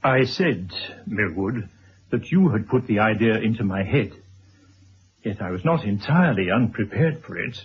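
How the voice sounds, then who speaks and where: An actor playing a calm, calculating older gentleman in a radio drama, speaking in a dry, measured, formal way. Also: lowish voice. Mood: dry, coolly ironic. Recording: dull, hissy old radio recording.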